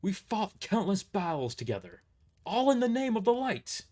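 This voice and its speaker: A man's voice: high voice, big pitch swings, normal speed, darkish tone, voice pushed up. A man voicing a noble crusader knight in a fantasy audio drama, formal, earnest and pleading. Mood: impassioned.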